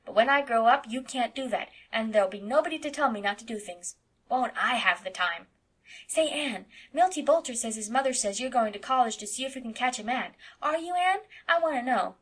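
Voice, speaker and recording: A woman's voice: expressive, fairly quick, slightly rough. A woman voicing a mischievous eight-year-old farm boy who speaks bluntly and insistently. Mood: defiant, chattering. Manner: high, loud and insistent childlike delivery.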